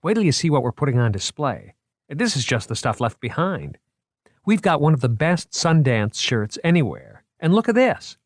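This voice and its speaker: Man doing eager young male curator, high and lively, jittery, dry close sound. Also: no echo. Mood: enthusiastic. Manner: eager, rapid, stammering when flustered. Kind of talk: audiobook character voice.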